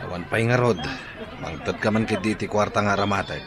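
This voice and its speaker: A man's voice: on the low side, brisk, over music, lightly acted. A man with an Ilocano accent playing a jobless, money-grasping lover in a radio drama. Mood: wheedling, smooth-talking.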